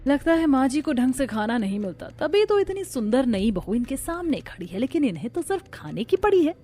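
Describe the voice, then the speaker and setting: A woman voicing a scheming young new bride in an animated family story, often muttering to herself. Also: high voice, a little fast. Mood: sly grumbling. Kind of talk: Hindi cartoon story dialogue. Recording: music behind the voice.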